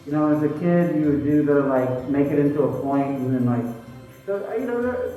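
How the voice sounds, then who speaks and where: A man with a fairly high, mellow voice chats casually and rambles while hunting for words. He sounds distant and very echoey, with faint music. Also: lively intonation, normal speed. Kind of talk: casual opinion chat.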